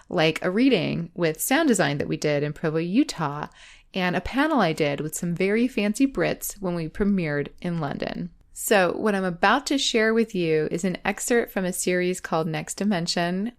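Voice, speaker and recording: A woman podcast host speaking in a reflective scripted monologue, with measured phrasing and deliberate pauses. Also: fairly low pitch. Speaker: podcast host, audio drama creator.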